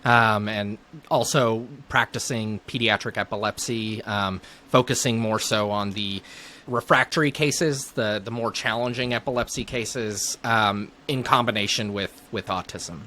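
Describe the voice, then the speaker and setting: A man's voice: lowish voice, a little slow, sharp tone. A man in his thirties speaking as a neurologist guest in a casual interview.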